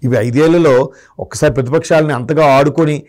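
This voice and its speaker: Guy doing political commentary, voice a little on the high side, keeps asking rhetorical questions to make his point. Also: a little fast, darkish tone. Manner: argues through rhetorical questions. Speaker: political analyst.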